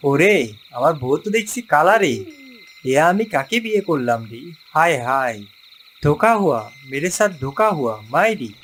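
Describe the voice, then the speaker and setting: A man voicing an excitable, hapless young animal groom in a comic cartoon, exclaiming melodramatically. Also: a little high, normal speed, slight background noise. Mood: dismayed lament. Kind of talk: exaggerated cartoon voice acting.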